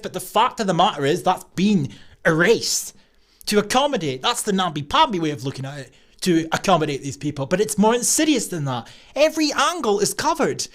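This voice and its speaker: A Scottish man giving screen-recorded commentary in an indignant, sarcastic manner, with scoffs and swearing. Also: sits high. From Scotland.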